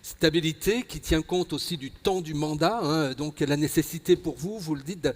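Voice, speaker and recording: A man with a French accent from France moderates a conference panel, summing up and prompting with halting, restarted phrases. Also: on the high side, a little mellow. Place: convention plenary hall. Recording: reverberant large hall.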